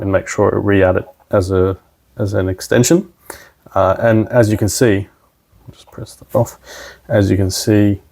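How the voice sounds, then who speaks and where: A man's voice: lowish voice, creaky, darkish tone. A man presenting a casual technical tutorial, explaining things informally step by step. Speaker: presenter, installer trainer.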